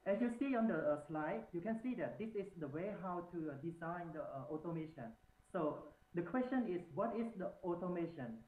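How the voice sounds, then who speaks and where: A man from Cambodia with a Cambodian accent giving a formal startup pitch, halting and filled with "uh" pauses. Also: lively intonation, darkish tone. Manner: halting second-language English, frequent "uh" pauses. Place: large competition hall. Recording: echoey hall, distant mic, phone-like sound.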